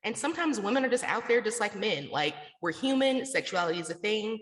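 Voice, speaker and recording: A woman's voice: mid-range voice, animated, brisk. A woman answering interview questions conversationally, candid and casual, explaining herself with lots of "like". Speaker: interview guest, podcaster and radio station founder. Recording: echoey room with long reverb.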